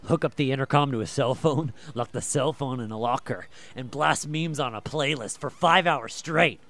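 A man's voice: medium pitch, normal speed, a bit bright, natural voice. Brash mischievous teenage boy, acted by a man. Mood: brash, scheming. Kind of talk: audiobook character acting.

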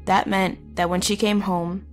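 A woman playing a wounded, wry, guarded teenage runaway in a halting, confessional audio drama monologue. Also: medium pitch, level tone, faint music behind, lightly acted. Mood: hurt, uneasy. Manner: halting, conversational, with pauses and wry asides. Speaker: narrator of audio horror story. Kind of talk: first-person dramatic monologue, confessional storytelling.

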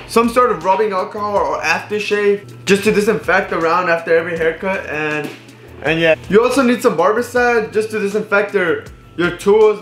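A man's voice: high-pitched, echoey room. A young man in his twenties, a barber, casually showing his tools in a living room to a fixed camera, with many fillers and restarts. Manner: relaxed, filler-heavy, restarts often. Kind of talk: casual tutorial. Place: indoors, living room with TV and couch.

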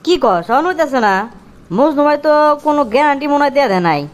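A woman playing a bossy cartoon villager with a sharp tongue. The voice is high and quick, scolding in colloquial rural Bangladeshi dialect. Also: animated. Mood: dismissive. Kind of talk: comic Bengali cartoon dialogue.

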